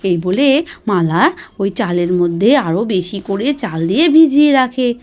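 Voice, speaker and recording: A woman's voice: medium pitch, animated, measured pace, a little mellow. A woman narrates a children's cartoon story. She reads scripted linking narration as the storyteller of a doll tale.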